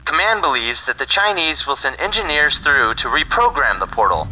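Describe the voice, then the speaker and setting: An excitable, clipped military officer in a video game. His pitch is on the high side and moves around a lot. The radio transmission is clearly noisy. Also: normal speed. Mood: firm, official. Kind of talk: game mission radio dialogue.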